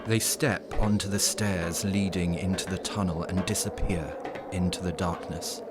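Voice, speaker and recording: A man narrating a scripted horror story in an ominous, measured storytelling manner. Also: sits low, fairly even intonation, heavy background noise.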